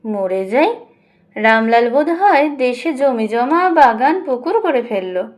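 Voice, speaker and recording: Woman acting a high-voiced, domineering widowed matriarch. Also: very animated, takes it slowly, exaggerated voice. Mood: taunting. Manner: taunting, mock-innocent exclamations. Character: domineering, sly middle-aged widowed matriarch, teasing and sharp.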